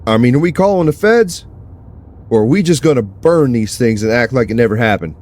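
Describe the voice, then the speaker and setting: An actor playing a blunt, impatient, dismissive sheriff's deputy in an audio drama, with a sardonic manner. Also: male voice, mid-range voice, expressive, fairly quick, darkish tone, natural voice. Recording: faint vehicle ambience noise.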